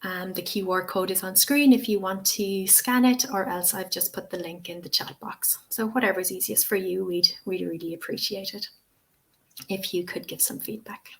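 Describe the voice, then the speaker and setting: A woman's voice: mid-range voice, fairly even intonation, moderate pace. A woman in her forties with an Irish accent, presenting a teacher-training webinar and answering questions in a friendly, chatty way with many fillers. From Ireland. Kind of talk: live webinar Q&A.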